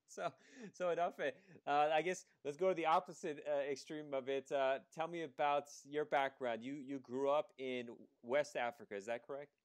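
A man's voice: on the high side, fairly even intonation, normal speed, clear, resonant tone. A man hosting an interview podcast as an immigration attorney, talking casually with many fillers and restarts. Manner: conversational, with frequent fillers and restarts.

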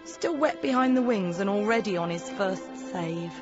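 A woman plays a sardonic, blunt officer of an afterlife patrol in a radio play. Her pitch swings freely as she questions with pointed sarcasm. The sound is dull and lo-fi, with music underneath. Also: lively intonation, moderate pace, natural voice. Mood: cynical. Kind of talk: audio drama acting. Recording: dull lo-fi audio drama recording. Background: background music.

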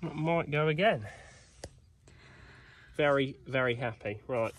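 A man's voice: medium pitch, slow, a bit bright, a little hiss or hum. Young man tinkering outdoors at night. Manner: chatty, cheeky, thinking aloud while working. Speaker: vlogger mechanic. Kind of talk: casual workshop narration. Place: outdoors at night by an old digger.

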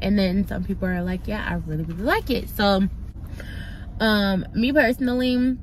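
A woman's voice: mid-range voice, normal speed, rough recording. A young adult woman talking casually and candidly to her camera, slangy and chatty, in a car. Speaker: vlogger. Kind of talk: casual vlog talk to camera. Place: in a car outdoors. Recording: loud car and street noise.

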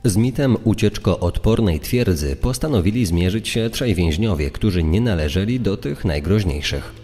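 Very deep, mellow male documentary narrator. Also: animated, moderate pace. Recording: long hall reverb, music underneath.

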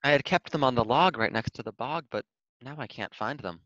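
A man's voice: medium pitch, a little fast, a bit bright, dry recording, lo-fi sound, voice pushed up, lightly acted. A man voicing a muddled, polite, near-sighted hedgehog in a children's storybook, earnest and exclaiming. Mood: forlorn.

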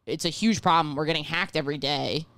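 Brash deep-voiced woman comedian. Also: fast talker, no echo. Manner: brash, profane, animated storytelling. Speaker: guest comedian. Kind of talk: casual chat. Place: small living room podcast set. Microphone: handheld mic, headphones.

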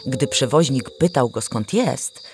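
A woman narrating a fairy tale in a theatrical, expressive storytelling manner. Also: very deep voice, unhurried. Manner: theatrical, animated. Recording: music behind the voice. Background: music.

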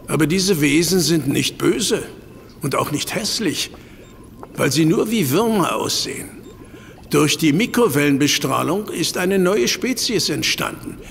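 A calm, learned professor who lays out his case in a patient lecturing manner. His voice sits a touch high and carries gravel. The audio-drama recording has a long reverb and faint hiss. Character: learned older male professor, calm explainer. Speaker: professor explaining a crisis. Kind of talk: German audio drama acting.

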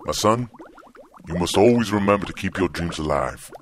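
A man's voice: low-pitched, lively intonation, normal speed, a little hiss or hum. A man playing a kindly middle-aged father in a comedic audio drama, earnest and reassuring as he speaks. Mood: encouraging.

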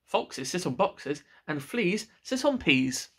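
A bossy cat from a picture book, acted out by a man. The delivery is emphatic and insistent, slowly drawn out with a lively, swooping pitch. It sounds stubborn and sure of its rules. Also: slightly rough, heavily acted. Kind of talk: children's picture book read aloud.

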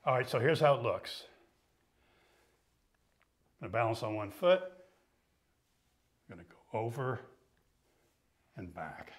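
A middle-aged man coaching ski fitness, explaining each exercise calmly while he demonstrates it in a studio. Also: medium pitch, unhurried, pauses often. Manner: patient, explanatory, talks while moving.

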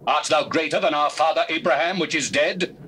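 An actor playing a scornful, challenging Pharisee interrogator in declamatory Bible drama. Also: male voice, fairly high pitch, brisk, fairly bright tone, lightly acted. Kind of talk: dramatized scripture reading, stage-like acting. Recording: noisy old cassette tape recording.

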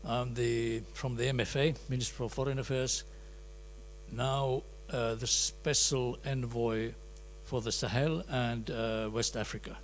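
A middle-aged man, a diplomat, introducing himself at a workshop in a small meeting room, relaxed and joking, into a handheld mic. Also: mid-range voice, measured pace, slight background noise. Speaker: special envoy, diplomat.

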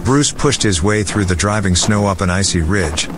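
A man's voice: on the low side, moderate pace, background noise. A man narrating a scripted dramatic adventure story as the narrator of an audio drama.

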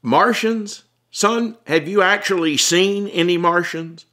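A man's voice: high voice, slow pace, sharp tone, pitched above the performer's own voice, exaggerated voice. Man doing an exasperated small-town cop, voice raised. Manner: raised voice, folksy and blunt. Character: exasperated, authoritative small-town traffic policeman.

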